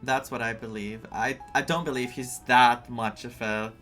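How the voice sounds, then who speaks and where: A man giving casual, reactive playthrough commentary, musing in a halting way as he goes. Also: medium pitch, steady tone, sharp tone, faint music behind. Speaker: gaming streamer.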